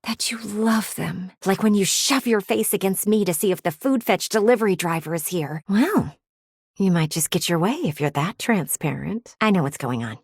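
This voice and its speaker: An actress voicing a pushy, blunt talking window in a video game, with bossy, dramatic emphasis. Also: female voice, mid-range voice, lively intonation, moderate pace, breathy edge, no room sound, clean studio sound. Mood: condescending, insistent.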